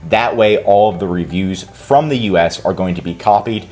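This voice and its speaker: A man's voice: fairly low pitch, normal speed, darkish tone. A man hosting a tutorial and giving casual step-by-step instructions as a consultant. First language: English. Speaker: consultant and channel host. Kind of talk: screen-recorded tutorial walkthrough.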